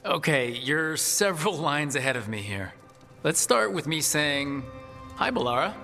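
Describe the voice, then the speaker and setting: An actor playing a pragmatic male team leader in a video game, measured and reassuring. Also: a little high, sing-song, pushed and bright, heavily acted. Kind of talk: video game dialogue acting. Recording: long hall reverb, music underneath.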